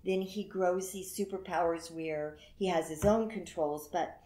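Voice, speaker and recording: A woman's voice: fairly low pitch, fairly even intonation, unhurried, mellow, low-quality recording. A middle-aged or older woman giving her opinions as a guest in a casual chat.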